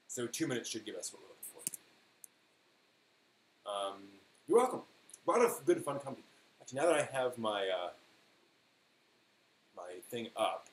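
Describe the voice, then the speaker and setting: A man hosting a live stream in casual, rambling chat, thinking aloud haltingly and leaning on key words. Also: medium pitch, fairly bright tone. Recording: echoey room with faint noise.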